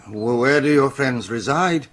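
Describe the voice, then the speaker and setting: An actor playing a gentle, hospitable old blind grandfather with courteous, old-fashioned speech in a radio drama. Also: medium pitch, unhurried. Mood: gentle, welcoming.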